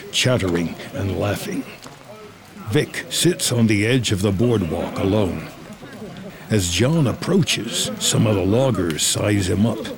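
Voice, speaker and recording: A man reading scripted screenplay narration as the narrator of a drama. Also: lowish voice.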